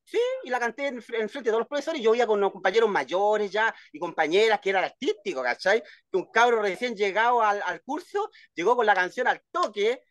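A man's voice: sits high, lively intonation, a little fast, hardly any pauses, dry recording. A man in his fifties with a Chilean accent, telling stories casually as an interview guest, often repeating words. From Valparaíso, Chile. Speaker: guest, poet. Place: at home, video call.

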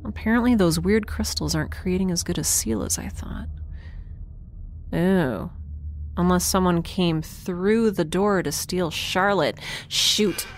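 A woman's voice: fairly low pitch, expressive, a little slow, slight background noise. A woman playing a chatty, quirky, anxiously upbeat space dweller in an audio drama, rambling wryly and naturally. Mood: puzzled, worried. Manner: rambling, wry, self-reassuring. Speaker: lead character. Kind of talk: naturalistic audio drama acting, talking to herself.

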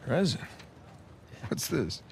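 An actor with an American accent playing a wry treasure hunter working as a salvage diver in a video game, joking dryly. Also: male voice, slow pace, a little hiss or hum, close to an everyday voice. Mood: skeptical, dry. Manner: dry, joking, easygoing. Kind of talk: naturalistic video game cutscene acting.

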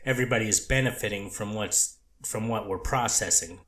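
A man voicing a treatment counselor testifying, cautious and halting, with clinical wording. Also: lowish voice, a bit bright, echoey room, lightly acted. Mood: cautious testimony. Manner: hesitant, restarts, clinical wording. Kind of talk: courtroom transcript reading.

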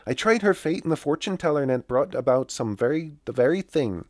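A man's voice: a little high, animated, a little fast, no room sound, studio quality, pitched above the performer's own voice, lightly acted. Man playing an eager, scheming country wife. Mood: eager, confident. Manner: rustic dialect, eager.

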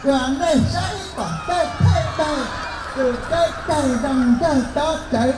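A man performing at an outdoor festival, shouting and laughing loudly. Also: very high-pitched, moderate pace. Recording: echoey outdoor PA with music and crowd.

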